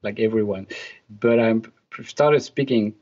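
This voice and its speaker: A man's voice: lowish voice, measured pace, a little mellow, lo-fi sound. A young adult man from France with a French accent, a guest answering questions in a casual interview, hesitant with many fillers and a playful touch.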